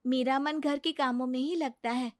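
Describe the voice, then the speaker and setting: Woman voicing a young daughter-in-law, devoted, gentle, earnest, very high pitch, storybook drama. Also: steady tone, normal speed, no echo, clean studio sound, lightly acted. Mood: polite, content.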